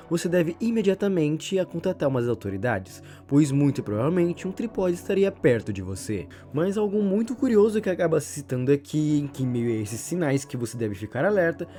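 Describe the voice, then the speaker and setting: High-pitched, animated Brazilian man narrating. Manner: wordy, hedging, self-correcting. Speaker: video narrator. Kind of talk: explanatory horror-lore commentary. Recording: voice over background music. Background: music.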